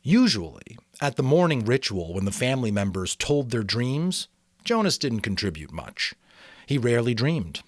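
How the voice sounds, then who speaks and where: A man hosting and narrating an audiobook, with expressive, deliberate phrasing and a casual, amateur storyteller's delivery. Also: medium pitch, no echo, studio quality. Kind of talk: dramatic storybook reading with casual asides.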